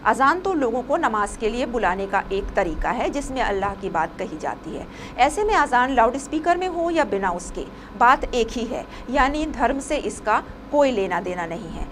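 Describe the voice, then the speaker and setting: A woman's voice: fairly high pitch, big pitch swings, normal speed, hardly any pauses, a bit bright. A woman reading a scripted news report as a presenter, with some noise behind her.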